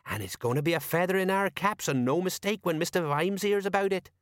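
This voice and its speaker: A man's voice: high voice, brisk, no room sound, studio quality, pitched above the performer's own voice, close to an everyday voice. A man voicing a pompous, self-important, dim-witted veteran police sergeant who lectures in colloquial speech, in an audiobook.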